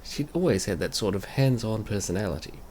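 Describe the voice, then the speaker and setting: A man's voice: fairly low pitch, expressive, brisk, a little mellow, a little hiss or hum. A man hosting and narrating a comic dub in a casual, story-reading style. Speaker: comic dub reader and channel host. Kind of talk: casual video intro and scripted first-person narration.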